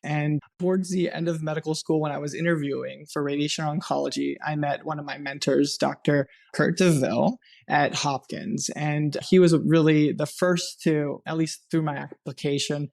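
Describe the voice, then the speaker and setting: A man from Hawaii speaks in a raised, gravelly voice. He tells his story earnestly and unhurriedly, with measured pauses. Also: on the high side, fairly even intonation, darkish tone. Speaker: radiation oncologist, podcast guest. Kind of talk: reflective personal storytelling.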